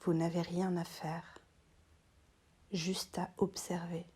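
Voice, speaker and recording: A woman guiding a relaxation meditation as a yoga teacher, in a soft, breathy, half-whispered voice, soothing and unhurried with long pauses between short phrases. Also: fairly low pitch, level tone.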